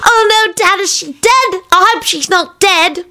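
A woman's voice: very high voice, a little slow, sharp tone, pitched above the performer's own voice, heavily acted. A woman voicing an earnest, anxious small child with plaintive, wide-eyed exclamations. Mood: worried.

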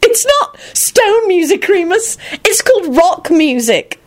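A woman acts out a sweet, excitable schoolgirl in a story. The voice is pitched well up and swings freely with impulsive feeling. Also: normal speed. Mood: amused, flustered.

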